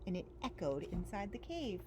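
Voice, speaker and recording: A woman's voice: mid-range voice, sing-song, brisk. A woman reading a children's picture book aloud as a story-time reader, expressively and with dramatic emphasis. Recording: faint background noise.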